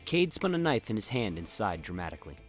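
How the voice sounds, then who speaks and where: Low-voiced male narrator over soft music. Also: big pitch swings, quick pace. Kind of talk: scripted dramatic story narration.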